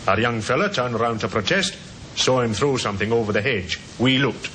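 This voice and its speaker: Bright-voiced, polite police sergeant. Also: male voice, mid-range voice, expressive, fairly quick. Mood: earnest, reporting. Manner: deferential, hesitant politeness. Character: polite, diligent male police detective sergeant. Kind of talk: old-time radio drama acting. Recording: noisy vintage radio drama recording.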